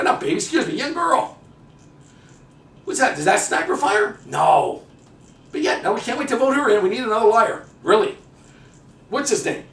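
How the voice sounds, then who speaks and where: A man's voice: high voice, a bit bright, echoey room, far from the mic. A man delivering a heated, sarcastic political rant as a monologue. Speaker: political commentator. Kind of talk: ranting monologue.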